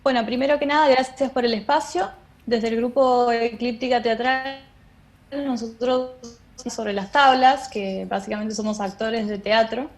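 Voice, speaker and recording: Argentine woman, fairly high voice, explaining stuff as an interview guest. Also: steady tone, unhurried. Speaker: interview guest, theatre director and drama teacher.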